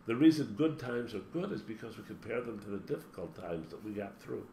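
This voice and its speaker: A man's voice: on the low side, brisk, reverberant. Man, spiritual teacher, motivational talk, emphatic lists, faint background hum. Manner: emphatic, lively delivery with long spoken lists.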